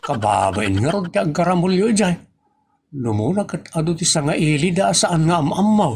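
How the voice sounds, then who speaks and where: A man's voice: fairly high pitch, expressive, moderate pace, creaky. A man playing a painfully bashful, whining grown child in a comedy audio drama. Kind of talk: Ilocano comedy radio-style drama acting. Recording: noticeable background noise.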